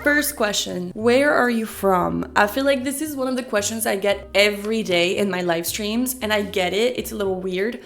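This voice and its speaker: Chatty young streamer, slight French tinge. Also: female voice, mid-range voice, normal speed, gravelly, hardly any pauses, a bit bright. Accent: American with a slight French tinge. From France. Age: 20s. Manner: chatty, candid, swears casually, self-deprecating. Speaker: streamer and content creator. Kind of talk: casual talking-head Q&A. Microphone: iPhone camera mic.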